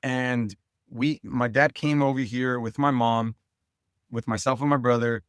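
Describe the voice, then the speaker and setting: A man's voice: medium pitch, steady tone, measured pace, smooth, no echo, studio quality. A man from Southern California telling personal stories as an interview guest, in an informal and earnest conversational way. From Santa Ana, California. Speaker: interview guest, youth soccer advocate.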